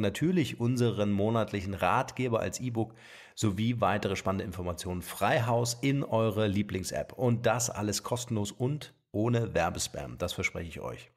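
A man hosting a podcast, friendly, speaking straight to the listeners in a closing announcement. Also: fairly low pitch. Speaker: podcast host. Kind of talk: sign-off announcement.

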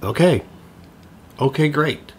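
A middle-aged man playing a sarcastic, frazzled divorced father in a comedic audio drama, husky and exasperated. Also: a little high, lively intonation, takes it slowly, darkish tone, full character voice. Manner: sardonic, exasperated.

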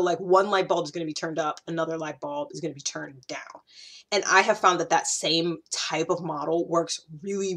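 An American woman in her thirties talking casually to camera, conversational and emphatic, repeating points for stress. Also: on the low side. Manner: conversational, animated gesturing, emphatic repetition. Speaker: YouTube host sharing self-growth advice. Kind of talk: casual direct-to-camera talk.

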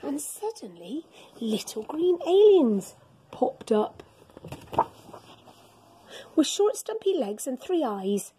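A woman's voice: high-pitched, takes it slowly, long pauses, a little hiss or hum. A middle-aged woman reading a children's story aloud as a teacher would, expressively and dramatically. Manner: animated, dramatic storytelling with gasps and whispers. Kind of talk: expressive picture-book read-aloud for children. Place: school classroom, indoors.

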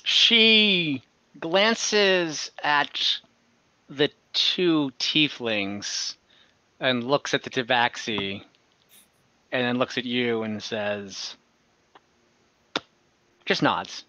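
A man's voice: mid-range voice, animated, extremely slow, long pauses, pushed and bright, smooth, dry recording. A young man narrating as game master on a video call, talking loosely with many fillers. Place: home room. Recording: slightly dull video call audio.

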